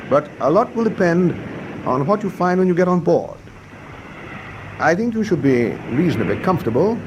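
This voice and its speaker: Brisk British naval captain, played by a man. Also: a little high, a little hiss or hum, close to an everyday voice, low-quality recording. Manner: clipped, authoritative, courteous. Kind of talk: radio drama acting.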